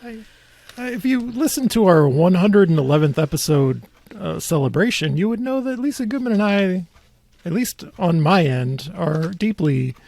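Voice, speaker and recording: A man hosting a podcast in casual chat, teasing and mock-serious with dry sarcasm and a gravelly, husky voice. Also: high voice, animated, moderate pace, a little mellow, no room sound. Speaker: podcast host. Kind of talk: casual chat about local politics.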